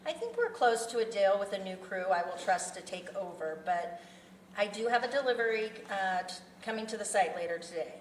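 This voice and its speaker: A woman reading the part of a formal, evasive serial killer in a script table read, halting and businesslike. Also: medium pitch, lively intonation, close to an everyday voice. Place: indoor festival venue table read. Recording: distant echoey room with background noise.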